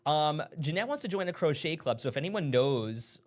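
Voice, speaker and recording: A man co-hosting a morning TV show, chatting and reading out viewer comments. His pitch swings widely as he talks, and he reacts quickly and playfully. He is recorded clean on a studio lapel mic. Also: very animated.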